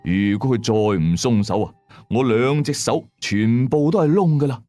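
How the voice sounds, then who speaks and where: 一個香港粵語口音嘅男人，用第一身、口語化又戲劇性嘅方式講廣播鬼古。. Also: male voice, mid-range voice, normal speed, mellow, faint music behind. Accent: Hong Kong Cantonese. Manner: dramatic, colloquial. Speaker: radio drama narrator. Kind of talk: first-person ghost story narration.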